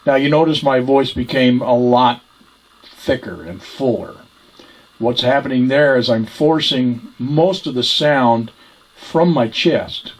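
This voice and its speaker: A man's voice: medium pitch, takes it slowly, rough recording. Man giving an informal voice lesson. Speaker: ventriloquism instructor. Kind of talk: informal instructional talk.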